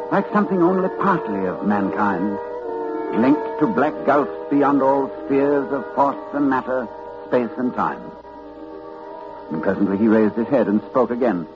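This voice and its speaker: A man's voice: mid-range voice, expressive, measured pace, lightly acted. A man acting a learned, hesitant university librarian recounting horror in a cultured, dramatic manner, in 1940s radio suspense style. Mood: haunted, dread. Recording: dull old radio recording with music.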